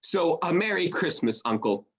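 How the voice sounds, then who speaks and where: An actor playing a warm-hearted, earnest young gentleman, a cheerful nephew, with hearty declamation in a radio play. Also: medium pitch, big pitch swings, normal speed. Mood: hearty, resolute.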